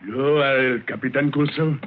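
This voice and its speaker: An actor playing a boastful, hard-drinking guerrilla general with a Spanish accent and commanding broken English in an old radio drama. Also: male voice, medium pitch, moderate pace, a little hiss or hum, lightly acted. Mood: swaggering. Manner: broken English, commanding, swaggering, repeats himself. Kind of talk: 1950s radio drama acting. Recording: tinny old radio broadcast, music behind.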